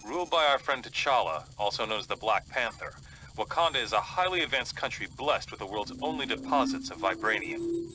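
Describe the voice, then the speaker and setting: An actor playing a grave, analytical strategist delivering a video game mission briefing. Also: male voice, mid-range voice, expressive, fairly quick, sharp tone, slight background noise, poor audio.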